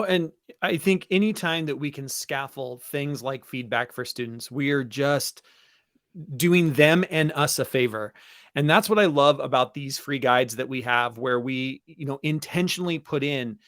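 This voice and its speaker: A man's voice: a little high, fairly bright tone, no echo, clean studio sound. A man speaking as an enthusiastic, emphatic co-host in a conversational webinar for educators, repeating key phrases for stress. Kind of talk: conversational webinar talk for teachers.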